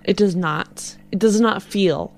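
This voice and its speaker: A woman's voice: mid-range voice, a little slow, vocal fry, a little mellow. A woman playing an earnest, polite but insistent spacer woman with firm morals in a tabletop game. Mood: morally insistent.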